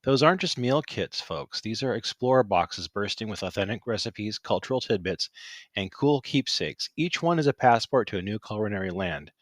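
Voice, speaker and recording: An older male host opens his show with a scripted, punchy introduction. His voice sits on the low side, and the recording is close and completely dry, with no room sound at all. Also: lively intonation, moderate pace, no room sound. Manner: upbeat, punchy delivery. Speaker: podcast host.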